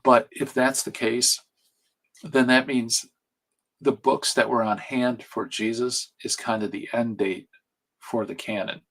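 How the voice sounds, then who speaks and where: A man talking quietly and soothingly as he lectures on a live stream from home at night. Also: mid-range voice, steady tone, unhurried, slightly rough, low-quality recording. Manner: hushed, soothing delivery. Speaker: streamer teaching on Bible canon. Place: home at night, family asleep nearby. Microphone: streaming mic.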